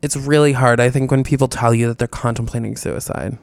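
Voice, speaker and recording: A man's voice: mid-range voice, fairly even intonation, a little fast, creaky, no echo. A man co-hosting a podcast, chatting casually and rambling with lots of fillers. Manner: rambling, filler-heavy, reads listener letters aloud. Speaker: podcast co-host.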